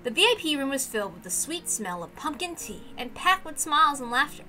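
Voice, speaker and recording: An excitable, animated woman hosting a read-aloud series, moving between casual rambling and reading a story. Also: sits high, fairly quick, faint music behind. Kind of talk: casual chat and visual novel read-aloud.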